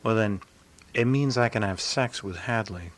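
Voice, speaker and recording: Actor playing low-voiced, shy young man. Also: moderate pace, close to an everyday voice. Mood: earnest, awkward. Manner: hesitant, earnest. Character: Ernest Hemingway, shy, earnest young man in his twenties. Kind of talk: radio drama acting.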